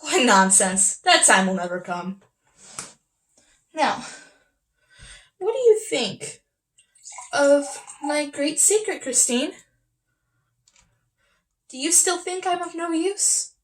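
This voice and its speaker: A woman's voice: high-pitched, expressive, slow, long pauses. A woman performing a stage monologue as a proud, wistful young Victorian housewife, earnest and confiding. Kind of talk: stage monologue from a classic play.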